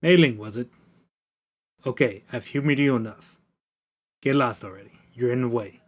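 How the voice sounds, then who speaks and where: A man playing a gruff, brusque Victorian police inspector in an audio drama, with music behind him. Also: medium pitch, normal speed, lightly acted. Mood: gruff, irritated. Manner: brusque, dismissive. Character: gruff, impatient, bigoted male Victorian London police inspector.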